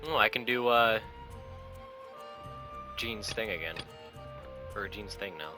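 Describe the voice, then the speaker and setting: A man's voice: lowish voice, takes it slowly, bright, forceful tone. A man giving casual, offhand, sweary commentary as he plays a video game. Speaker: gaming streamer. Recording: commentary over game music.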